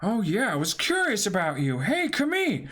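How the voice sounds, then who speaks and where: A goofy young pirate captain played by a man, blunt and full of naive curiosity. The voice is high, bright and lively, as in an amateur comic reading. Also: normal speed, exaggerated voice. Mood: naive, curious.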